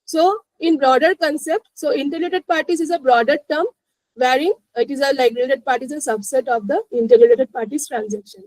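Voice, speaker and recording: Very high-pitched Indian woman teaching. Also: fairly quick, a little mellow, no echo, rough recording. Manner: repeats 'you know, like' and checks understanding. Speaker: presenter of a finance webinar. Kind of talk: live explanatory lesson.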